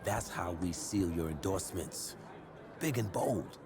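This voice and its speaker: A man's voice: low-pitched, unhurried, over music. A man acting a loyal, streetwise American sports agent in a game cutscene, persuasive and speaking in punchy short phrases. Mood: confident, persuasive. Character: loyal streetwise male sports agent advising a young player.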